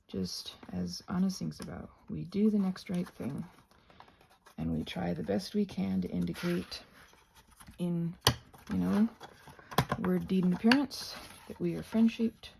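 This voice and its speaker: A woman's voice: lowish voice, creaky, dark tone, a little hiss or hum. A North American woman chatting casually while crafting, playful and scatterbrained, thinking aloud and often trailing off into murmurs. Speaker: craft and ASMR vlogger.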